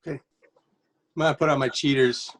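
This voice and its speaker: A man's voice: medium pitch, steady tone, normal speed, close to an everyday voice. A man playing an obliging student who reads stage directions aloud plainly and a little tentatively in a web comedy.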